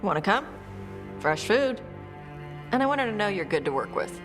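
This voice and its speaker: An actress playing a friendly, forthright young companion in a fantasy game, casual and direct. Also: female voice, medium pitch, big pitch swings, moderate pace, over music, close to an everyday voice. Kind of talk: video game dialogue acting.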